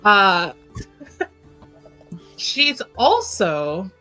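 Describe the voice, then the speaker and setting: A woman's voice: medium pitch, sing-song, extremely slow, sharp tone, soft background music, poor audio. A woman chatting casually as a tabletop game player, thinking aloud with a giggly, informal manner.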